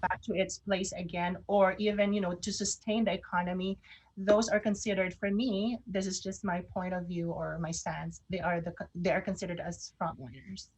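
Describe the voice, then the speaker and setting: A woman's voice: fairly low pitch, level tone, normal speed, darkish tone, slightly rough, rough recording. Chatty woman co-hosting an online show. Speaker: co-host of an online radio show. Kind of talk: casual chat.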